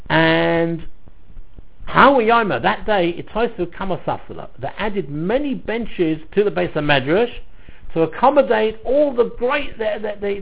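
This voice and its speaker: Animated high-voiced man teaching Talmud. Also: creaky, phone-quality sound. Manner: animated explaining, repeats phrases and stumbles over words. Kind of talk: line-by-line religious lesson, reading and translating.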